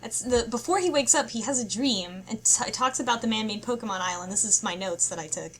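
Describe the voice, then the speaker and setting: A woman's voice: on the high side, fairly quick, fairly bright tone, a little hiss or hum. A woman on a podcast panel, casually talking through a story for a book club.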